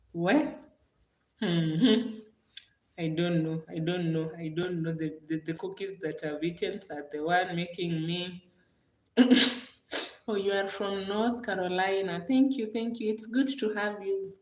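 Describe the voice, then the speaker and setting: A woman from Kenya with a Kenyan accent, chatting casually on a livestream, warm and gracious toward her viewers and repeating her thanks again and again. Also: fairly low pitch, reverberant. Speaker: livestream host.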